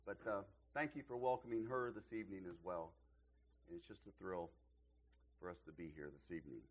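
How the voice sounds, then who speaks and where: A middle-aged man, slightly hoarse from losing his voice, giving a sermon as a visiting preacher in a church, opening with self-deprecating jokes before building to emphatic rises. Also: low voice, fairly quick, slight background noise. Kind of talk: sermon with folksy humor. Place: church auditorium.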